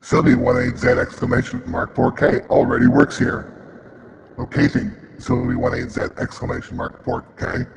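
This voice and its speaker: A man's voice: a bass voice, normal speed, vocal fry, very noisy, exaggerated voice. A man playing a glitchy, literal-minded computer that makes programmed announcements in a sci-fi satire audio drama. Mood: literal, informative. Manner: flat programmed announcements, repeating phrases.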